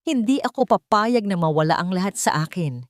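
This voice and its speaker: A woman's voice: medium pitch, expressive, fairly quick, no echo, studio quality, close to an everyday voice. A woman voicing a desperate, defiant female villain in a Tagalog story drama.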